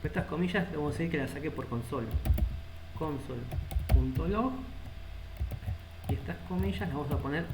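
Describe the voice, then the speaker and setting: A man's voice: on the high side, brisk, pauses often, music in the background. Rioplatense man teaching code, echoey room. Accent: Rioplatense Spanish. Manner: thinks aloud while typing code. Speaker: programming instructor. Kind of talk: live coding lesson. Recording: echoey room with loud background noise.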